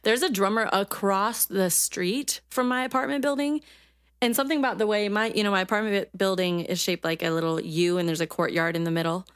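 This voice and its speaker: A woman's voice: medium pitch, brisk, a bit bright, clear, resonant tone, no echo. Chatty teasing woman, dry sound. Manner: teasing, chatty, rambling explanations. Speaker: podcast co-host. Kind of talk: casual chat over video call. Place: apartment.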